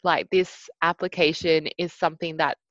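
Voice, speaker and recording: A chatty woman with a low voice talks as an interview guest over a video call. She fills her sentences with "you know" and "like". The audio is dry and a little dull. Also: flat delivery, normal speed, dry recording. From Australia. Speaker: podcast guest, hackathon organiser.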